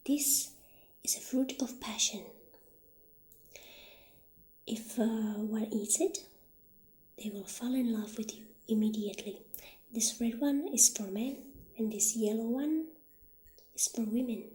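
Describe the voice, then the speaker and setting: Soft, whispery woman in slow ASMR roleplay. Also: on the high side. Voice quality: soft, breathy, often whispery. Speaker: ASMR performer playing a witch shopkeeper. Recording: roomy echo, audible background noise.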